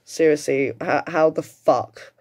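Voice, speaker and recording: A man's voice: a little high, steady tone, slow pace, a little mellow. A British man in his late twenties giving casual, emphatic, sweary reaction commentary. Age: late 20s. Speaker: commentator reacting to another person's video.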